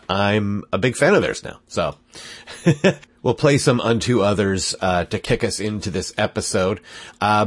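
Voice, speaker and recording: A man's voice: low voice, dry recording. Congested man rambling a podcast intro. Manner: rambling, self-interrupting, laughs at himself. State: congested from a cold. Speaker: podcast host.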